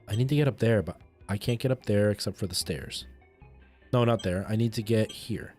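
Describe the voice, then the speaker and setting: A young man from Canada chatting casually while live streaming a game, expressive and prone to repeating himself for emphasis. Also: fairly low pitch, brisk. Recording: music playing behind the voice.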